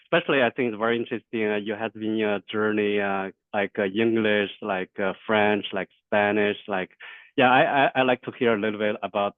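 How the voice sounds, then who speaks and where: A man hosting an interview, asking questions in a halting way with many fillers. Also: fairly low pitch, fairly even intonation, no echo. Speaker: interview host. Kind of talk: interview conversation. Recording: tinny dry phone-like line.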